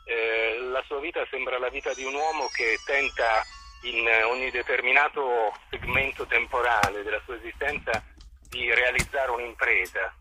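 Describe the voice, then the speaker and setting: A man giving reflective interview answers as a theatre director, articulate and formal, choosing words deliberately. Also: mid-range voice, fairly even intonation, pushed and bright, soft background music.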